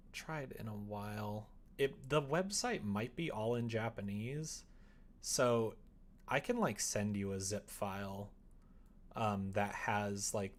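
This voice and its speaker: A man streaming live, chatting casually with viewers and rambling as he thinks aloud. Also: on the low side.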